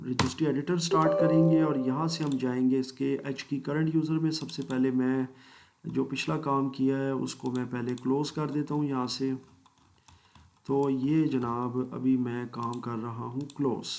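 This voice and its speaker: Man, mid-pitched, patient step-by-step software tutorial narration. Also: flat delivery, moderate pace. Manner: methodical step-by-step explaining, polite "ji" and "janab" asides; mixes English phrases into speech. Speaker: computer course instructor. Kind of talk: screen-recorded software tutorial.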